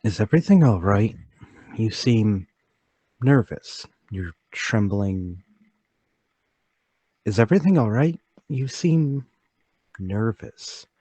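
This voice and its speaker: Man playing a slow, husky, troubled older gentleman. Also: on the low side, no room sound, exaggerated voice. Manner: halting, polite, deliberate. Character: troubled, forgetful, soft-spoken older gentleman, haunted and deliberate. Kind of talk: radio mystery drama table read.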